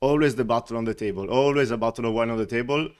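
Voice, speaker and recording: An Italian man with an Italian accent talks in a relaxed, chatty way. His voice is smooth and clear, and he sits at a mid pitch for a man. He laughs often and fills his pauses with "uh" and "like". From Italy, Veneto. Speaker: wine company representative, guest. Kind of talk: casual interview chat.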